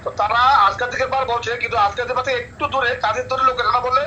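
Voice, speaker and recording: Middle-aged male caller, high, flat delivery, halting repetitive phrasing. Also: muffled recording, very noisy. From Cumilla, Bangladesh. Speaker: remote interview guest. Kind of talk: unscripted recounting.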